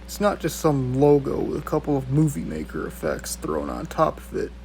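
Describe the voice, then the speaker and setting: A man's voice: mid-range voice, very animated, moderate pace, vocal fry, a little mellow, slight background noise. A man hosting a video review, reading scripted commentary with some casual, profane asides.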